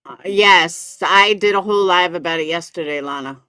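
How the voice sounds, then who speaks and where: A woman's voice: fairly low pitch, normal speed, bright, forceful tone, clean tone, dry recording. Woman streamer with a dry, close sound. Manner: blunt, sweary, gossipy. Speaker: commentary streamer. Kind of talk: casual live reaction commentary.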